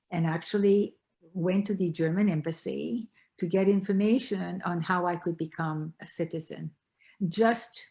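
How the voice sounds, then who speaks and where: An older woman from Belgium talks about her life as the author being interviewed. She speaks reflectively and haltingly, often restarting her sentences as she searches for words. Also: fairly low pitch, darkish tone. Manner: halting, with many restarts and fillers. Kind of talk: reflective conversational storytelling.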